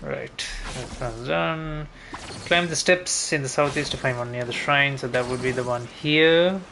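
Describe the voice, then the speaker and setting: A man's voice: medium pitch, a little slow, vocal fry, noisy background. A man streaming a game, thinking aloud in a casual, hesitant way as he talks through his route. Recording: game music behind voice. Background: video game music.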